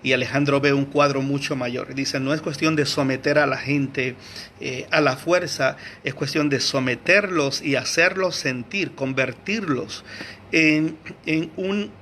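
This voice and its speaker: A man from Venezuela giving an unscripted history lecture, hesitant, with many fillers and restarts, searching for words and often clearing his throat. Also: medium pitch, measured pace, sharp tone, a little hiss or hum. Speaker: teacher.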